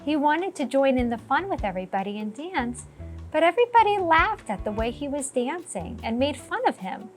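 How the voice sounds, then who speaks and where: A woman narrates a children's picture book in a high voice with lots of rise and fall. She takes her time over the phrases, and music plays behind her. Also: big pitch swings, normal speed. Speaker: storytime teacher reading aloud. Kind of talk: expressive children's storybook narration.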